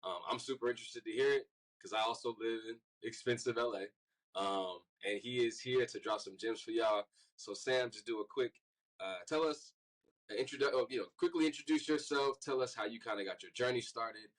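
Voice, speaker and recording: A man co-hosting a podcast, speaking in a relaxed and loose way that is full of "um" and "man". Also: medium pitch, a little fast, low-quality recording. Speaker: podcast co-host. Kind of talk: casual interview hosting.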